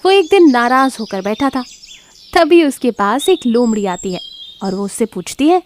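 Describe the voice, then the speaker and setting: A woman's voice: on the high side, very animated, moderate pace, a little hiss or hum. A woman giving scripted narration of a children's moral story.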